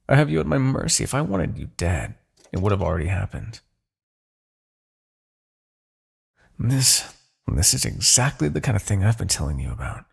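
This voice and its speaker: A man's voice: on the low side, vocal fry, breathy edge, exaggerated voice. A man playing a smug, possessive demon king in an ASMR roleplay, speaking with haughty, theatrical formality. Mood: condescending, amused. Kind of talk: ASMR roleplay, dramatic character acting.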